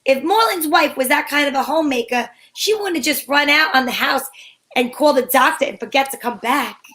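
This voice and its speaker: A breezy, flirtatious lady detective acted by a woman with a high voice. She wisecracks archly in the style of a 1940s radio mystery, moving between narration and banter. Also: a little fast, bright, forceful tone. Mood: breezy, suspicious. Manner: arch, wisecracking, endearments. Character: sassy female private eye, flirtatious and breezy.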